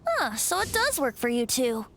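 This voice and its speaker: A man's voice: extremely high pitch, huge pitch swings, normal speed, a bit bright, slight background noise, heavily acted. A voice actor playing a playful young male bard in a video game, airy and teasing with frequent laughs. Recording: game audio with music behind.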